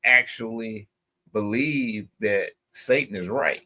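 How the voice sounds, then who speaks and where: Guest: male pastor, lowish voice, halting, repeats himself. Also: pushed and bright. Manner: halting, repeats words while searching. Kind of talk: radio talk show conversation.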